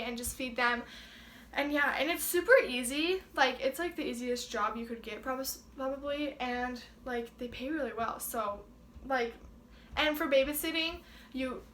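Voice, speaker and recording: Bright, chatty teen girl. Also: high-pitched, a little hiss or hum. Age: teens. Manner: chatty, many filler "likes". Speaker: co-host. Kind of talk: casual chat. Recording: echoey room.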